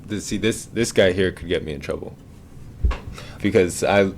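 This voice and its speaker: Low-voiced guy hosting a podcast, just chatting, some faint noise behind him. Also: normal speed. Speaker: podcast host. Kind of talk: casual chat.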